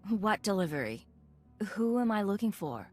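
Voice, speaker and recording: A woman's voice: mid-range voice, big pitch swings, normal speed, soft background music, close to an everyday voice. An actress playing a cool, self-assured female undercover agent in a video game. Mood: questioning. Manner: cool, confident.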